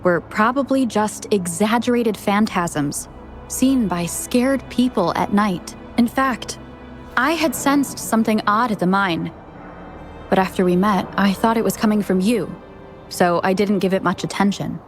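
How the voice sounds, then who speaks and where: An actress voicing a calm young female companion who reasons matter-of-factly. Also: mid-range voice, unhurried, soft background music, close to an everyday voice.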